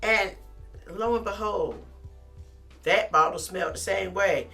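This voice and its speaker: Chatty middle-aged woman with a deep, bright voice. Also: a little slow, faint music behind. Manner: chatty, animated, calls the viewer honey. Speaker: lifestyle vlogger. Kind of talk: casual talk to camera.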